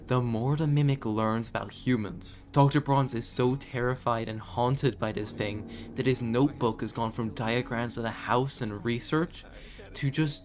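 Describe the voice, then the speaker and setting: A man giving scripted commentary on a horror series in an emphatic, dramatic storytelling way. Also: mid-range voice. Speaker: video commentator. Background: background music.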